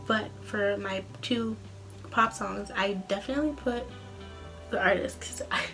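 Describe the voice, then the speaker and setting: A young woman chats informally in the manner of a personal vlog. Her pitch sits in an ordinary middle range for a female voice, and she rambles casually through her account. Music plays clearly behind her. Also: a little slow, fairly bright tone. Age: young adult. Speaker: vlogger, student singer.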